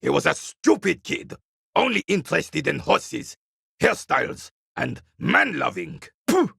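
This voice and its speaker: Gravelly, husky proud old soldier. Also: male voice, a little high, big pitch swings, unhurried, sharp tone, dry recording, clean studio sound, heavily acted. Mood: bitter anger. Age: older. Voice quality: gravelly, raspy. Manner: stern, clipped, disdainful. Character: proud, bitter old royalist soldier, gruff and contemptuous. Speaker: retired carabinier working as a guard. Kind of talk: video game character dialogue.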